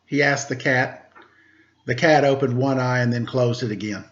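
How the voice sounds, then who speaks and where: A man working as a storyteller, reading a children's tale in an expressive narrating style. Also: medium pitch, normal speed. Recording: slightly dull, lo-fi sound.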